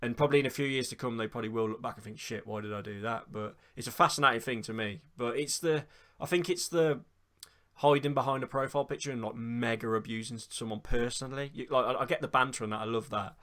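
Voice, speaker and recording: A man hosts a relaxed football podcast. He talks quickly and loosely in a chatty flow, with the odd casual swear. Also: medium pitch. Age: 20s. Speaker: podcast host.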